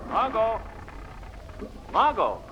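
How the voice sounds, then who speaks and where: An actor playing a suave, resolute gentleman sleuth in a 1930s radio drama style. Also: high-pitched, huge pitch swings, drawn-out words, full character voice. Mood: alarmed, sharp. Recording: muffled, noisy old radio recording.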